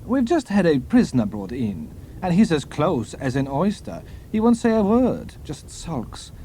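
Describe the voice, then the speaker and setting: Man acting a high-pitched, scheming German officer. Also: wildly swooping pitch, a little slow, warm tone, a little hiss or hum. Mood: confiding, scheming. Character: smooth, scheming German male officer in command. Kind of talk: audiobook character acting.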